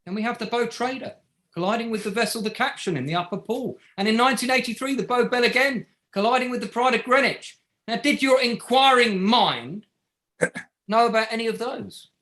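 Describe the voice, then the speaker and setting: An actor playing a relentless barrister who cross-examines with pointed, sardonic questions in a courtroom drama table read. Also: male voice, very high-pitched, a little fast, bright, forceful tone. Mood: methodical, accusing.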